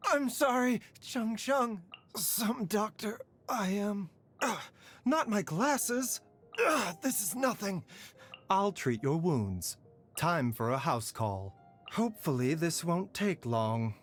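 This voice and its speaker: A man voicing a gentle, frail doctor in a video game, measured, polite and softly wry. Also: sits high, sing-song, full character voice. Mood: pained, resolute. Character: gentle, frail male doctor, calm and courteous. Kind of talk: scripted video game character lines. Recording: music behind the voice.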